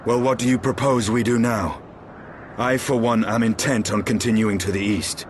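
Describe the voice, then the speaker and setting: An actor playing a grim, proud, dragon-slaying knight in a fantasy game, stern, formal and measured. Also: male voice, on the low side, fairly even intonation, a little hiss or hum, natural voice. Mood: resolute. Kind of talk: archaic fantasy game cutscene acting.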